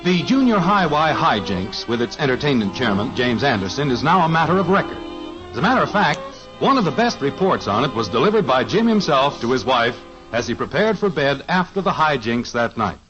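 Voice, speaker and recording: A man announcing a 1950s radio show in a smooth, formal manner. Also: mid-range voice, sing-song, fairly quick. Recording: lo-fi radio transcription with music.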